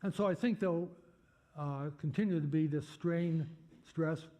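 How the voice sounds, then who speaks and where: Older Boston man, halting and mellow. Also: fairly high pitch. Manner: halting, many fillers and restarts. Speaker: guest speaker. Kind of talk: lecture Q&A answer.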